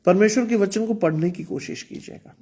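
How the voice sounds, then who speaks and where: A man's voice: high-pitched, fairly quick, mellow, slightly rough. A man preaching an online sermon in with some English, earnest and exhorting, speaking with a sore throat. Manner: earnest, exhorting, repeats phrases. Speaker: pastor.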